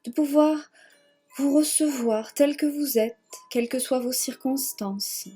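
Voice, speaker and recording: A woman leading a guided yoga nidra relaxation in a gentle, measured manner, leaving long pauses and repeating phrases. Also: high-pitched, soft background music. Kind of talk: guided meditation, slow spoken relaxation.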